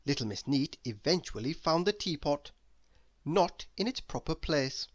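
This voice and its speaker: A woman reading a children's story aloud as an expressive narrator. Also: low voice, measured pace. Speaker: story reader.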